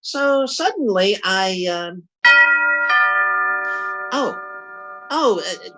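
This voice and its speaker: A man's voice: high-pitched, expressive, extremely slow, pushed and bright, soft background music, exaggerated voice, rough recording. A man acts out a blustering radio-comedy husband full of big schemes. His delivery swings widely up and down as he stammers, boasts and muddles along in a folksy 1940s manner. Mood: boastful.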